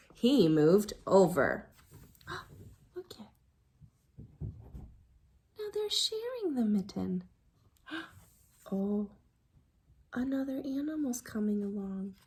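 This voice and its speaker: A woman reading a children's storybook aloud like a teacher at storytime, expressive and engaging young listeners. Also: on the high side, husky. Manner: expressive, drops to hushed excited asides with audible gasps.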